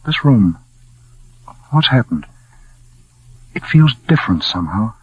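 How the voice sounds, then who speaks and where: An actor playing a courteous, perceptive concert musician in a 1940s radio drama, husky and measured. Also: male voice, fairly low pitch, big pitch swings, no echo, heavily acted. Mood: puzzled concern. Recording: muffled vintage radio recording.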